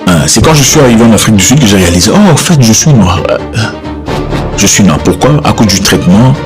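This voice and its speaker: A man's voice: on the low side, animated, quick pace. A man giving spoken commentary on news clips, hesitant and repeating words. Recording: music bed behind voice.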